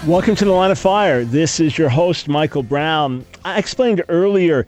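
A man's voice: a little high, expressive, moderate pace, music in the background. An older man hosting call-in talk radio, sounding congested, arguing emphatically with frequent restarts. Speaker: radio talk show host.

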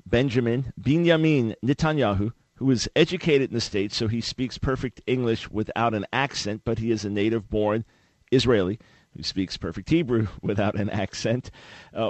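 A man's voice: mid-range voice, no echo. A man hosting a talk radio show, speaking emphatically in rhetorical bursts.

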